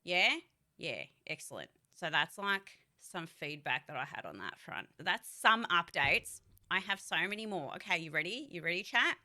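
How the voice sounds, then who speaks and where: A young woman talks straight to her stream chat in a bright, forceful voice. She is chatty and blunt, swears freely, and keeps asking her viewers questions as she goes. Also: fairly low pitch, sing-song, moderate pace. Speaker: voice actor and streamer. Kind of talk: casual livestream chat to viewers.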